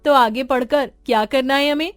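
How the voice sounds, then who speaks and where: A woman's voice: high-pitched, moderate pace, no echo, soft background music, close to an everyday voice. A woman voicing a brash, discontented young village daughter with an eager, whiny manner. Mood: boastful.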